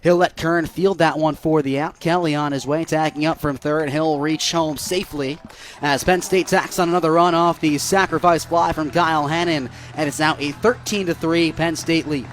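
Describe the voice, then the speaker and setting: A young American man doing live radio baseball play-by-play, lifting into excited calls on big plays. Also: fairly high pitch, normal speed. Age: young adult.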